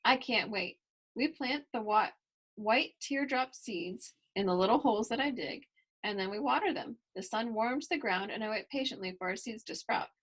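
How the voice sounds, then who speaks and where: Storybook lead: girly seven-year-old voiced by a woman, light higher pitch, enthusiastic and emphatic. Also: normal speed, fairly bright tone, husky, rough recording. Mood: excited. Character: bubbly, girly, fashion-proud seven-year-old farm girl. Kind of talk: first-person children's storybook narration.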